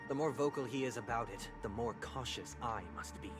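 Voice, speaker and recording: An actor playing a suave, calculating family leader in a video game, speaking formally and softly with menacing politeness. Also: male voice, medium pitch, over music, close to an everyday voice. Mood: wary, musing. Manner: formal, measured, deliberate diction.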